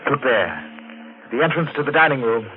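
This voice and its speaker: An actor playing a mysterious, fatalistic avenger who gives commanding orders in old radio melodrama. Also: male voice, medium pitch, expressive, brisk, natural voice. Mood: commanding, urgent. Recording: tinny vintage radio, music behind.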